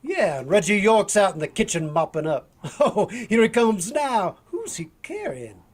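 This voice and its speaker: An actor playing a jovial, folksy bodyguard sidekick in a vintage radio drama, talking in an amused drawl. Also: male voice, high voice, very animated, unhurried, exaggerated voice.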